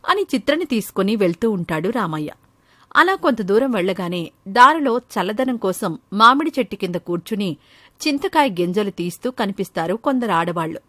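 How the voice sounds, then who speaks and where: A woman's voice: medium pitch, sing-song, normal speed, pushed and bright, no echo. A woman storyteller reading scripted narration for a children's moral story.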